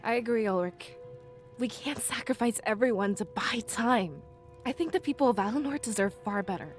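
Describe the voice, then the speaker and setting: A woman's voice: on the high side, animated, moderate pace, faint music behind, close to an everyday voice. An actress playing a blunt, principled, hot-headed female companion in a fantasy visual novel game, direct and serious. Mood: earnest.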